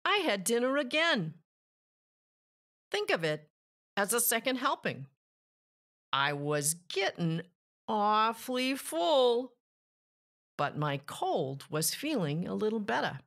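A sly storybook wolf, played by a woman, pleads his innocence in a theatrical way. He takes his time over every phrase, and his pitch swings widely as he plays the wronged party. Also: sing-song, a bit bright, exaggerated voice. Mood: deadpan storytelling. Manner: theatrical, deliberate, mock-innocent. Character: self-pitying, slyly innocent male storybook wolf telling his side. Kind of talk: children's storybook read-aloud.

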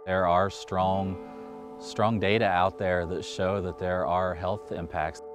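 Guy in his 30s from west virginia, real deep voice, interview out in the woods, bit of music. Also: flat delivery, takes it slowly. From West Virginia, United States. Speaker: local resident interviewee. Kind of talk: documentary interview. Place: outdoors in woods.

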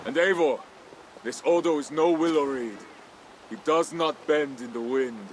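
A man's voice: on the high side, unhurried, noisy background, close to an everyday voice. A man playing a vengeful Viking warlord in a video game, curt and contemptuous. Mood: warning. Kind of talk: dramatic game cutscene acting.

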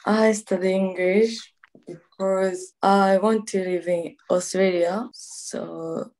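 A woman's voice: medium pitch, steady tone, slow pace, a little mellow, low-quality recording. A woman from Japan with a Japanese accent, giving a brief, simple introduction in English on a video call. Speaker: student.

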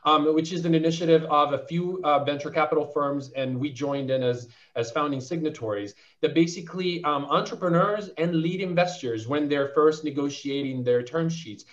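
A man's voice: fairly high pitch, fairly even intonation, normal speed, hardly any pauses, dull audio. A man on a panel discussion explaining his views as a venture investor, articulate but full of restarts and fillers.

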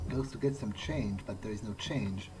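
A man thinking aloud in character as he plays a roleplaying game, musing haltingly to himself. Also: fairly low pitch, background noise.

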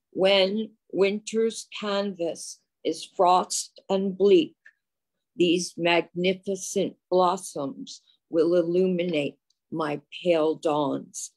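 Woman reading poetry, slow n husky, kinda low voice. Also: darkish tone. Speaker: poet. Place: online video call.

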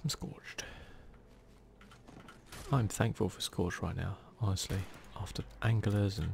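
A man giving soft-spoken, whispered ASMR commentary on a video game, murmuring casually as if to himself. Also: on the low side, unhurried, gravelly, slight background noise. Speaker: gaming video host.

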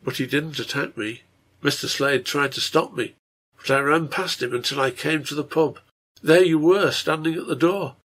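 A man voicing a gentle, pious young girl on her sickbed in a story, speaking quietly and earnestly to her father. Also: a little high, moderate pace. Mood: intense recounting. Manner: quiet, tender, intent delivery.

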